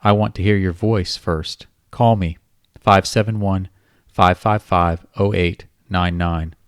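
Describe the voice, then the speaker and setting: A man voicing a grown, smooth-talking man who coaxes and soothes. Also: sits low, fairly even intonation, slow, dry recording, clean studio sound. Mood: coaxing. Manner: coaxing, soothing.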